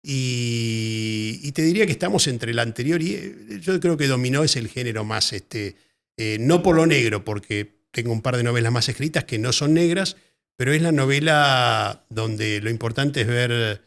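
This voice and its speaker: An Argentine man with a gravelly, creaky voice at a mid pitch, talking as a writer in a relaxed interview. He speaks thoughtfully, backing up and repeating words while he finds what he means. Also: moderate pace. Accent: Argentine Spanish. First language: Spanish. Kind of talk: casual interview conversation.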